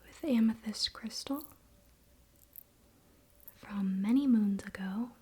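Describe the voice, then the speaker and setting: A woman whispering softly in a breathy voice, speaking as an ASMR host giving calm spiritual guidance. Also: mid-range voice. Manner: soft, slow, unhurried.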